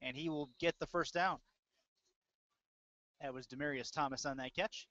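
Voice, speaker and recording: A man's voice: on the high side, steady tone, moderate pace, fairly bright tone, no echo. A man doing live play-by-play football commentary in clipped fragments with hesitant asides. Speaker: play-by-play announcer. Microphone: close mic, audio-only stream. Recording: dull lo-fi dry audio.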